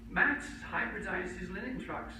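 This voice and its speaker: An older man, an academic, reads his humorous rhyming poem to a conference in measured short lines with pauses. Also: high voice. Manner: measured, pausing between short verse lines. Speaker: academic researcher reading his own poem. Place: conference room, recorded from a distance. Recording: echoey hall from far off, noisy.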